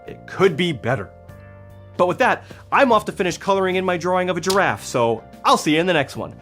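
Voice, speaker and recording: A man's voice: high-pitched, sing-song, brisk, a bit bright. A man narrating a scripted video essay as its host, with emphatic, dramatic phrasing and conversational asides. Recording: music behind the voice. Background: background music.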